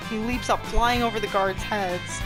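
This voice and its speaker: Woman, medium pitch, game read-aloud, casual commentary, clear music behind. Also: fairly even intonation, moderate pace. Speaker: let's play host. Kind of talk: reading visual novel aloud with casual commentary.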